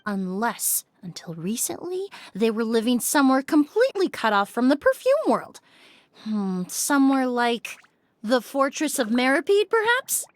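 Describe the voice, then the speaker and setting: A woman voicing a composed, shrewd young perfumer in a video game, speaking in a measured, formal, courteous manner. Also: on the high side, very animated. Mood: probing, confident. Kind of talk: scripted video game dialogue.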